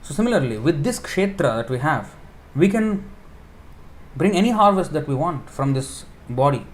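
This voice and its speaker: A man's voice: on the high side, big pitch swings, moderate pace. Man giving a chatty scripture talk. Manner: explains with homely examples, stops to correct himself, thinks out loud. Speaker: preacher giving a scripture class. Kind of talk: informal sermon.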